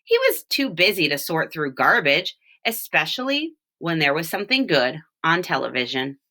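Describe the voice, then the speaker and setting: A woman reading a children's storybook aloud, with expressive, deliberate phrasing and short explanations. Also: sits low. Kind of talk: read-aloud storytelling with brief picture explanations.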